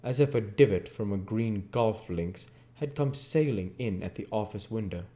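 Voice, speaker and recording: A man's voice: lowish voice, moderate pace, dark tone. A man reading a classic novel aloud as narration, occasionally stumbling over a line. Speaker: reader of a video book series.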